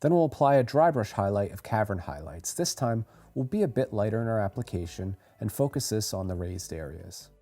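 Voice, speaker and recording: A man reading a scripted how-to voice-over as a tutorial narrator, giving clear step-by-step instructions. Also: lowish voice, lively intonation, a little fast, hardly any pauses, mellow, soft background music.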